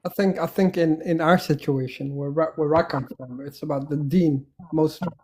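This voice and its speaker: A man's voice: a little high, steady tone, normal speed, mellow. A man from Somalia in casual chat, laughing often and repeating words while he searches for them.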